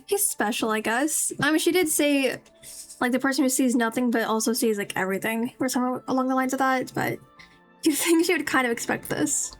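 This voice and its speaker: A woman's voice: high voice, a little fast, soft background music. A woman casually commenting on a game as she streams, musing and rambling as she goes. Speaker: streamer playing a story game.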